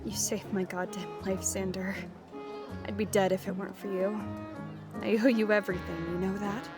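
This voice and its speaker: A woman acting as a young, brash adventurer who likes to tease, in a fantasy audio play. Her voice sits at a middle pitch with music clearly underneath. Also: little pitch movement, moderate pace, natural voice. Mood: grateful.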